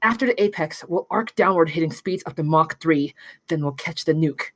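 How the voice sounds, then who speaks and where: A woman's voice: low voice, moderate pace, no echo. Woman as cool, precise pilot, dry sound. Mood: calm, focused. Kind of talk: screenplay table read.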